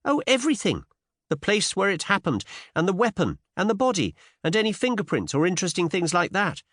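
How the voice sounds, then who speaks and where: A man plays a brash, pert young woman in a period audiobook story. The voice is lifted high and swings widely in pitch. The delivery is emphatic and teasing, full of bold curiosity. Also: sing-song, a little fast, a bit bright, dry recording, clean studio sound, exaggerated voice. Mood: eager, ghoulish. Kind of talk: audiobook character dialogue with period slang.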